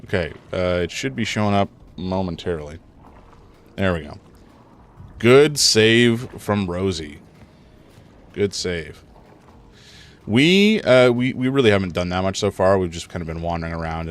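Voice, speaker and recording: A man live-streaming a horror game, rambling through his commentary, chatting with viewers, and reacting loudly to scares, a little congested. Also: deep voice. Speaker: gaming streamer.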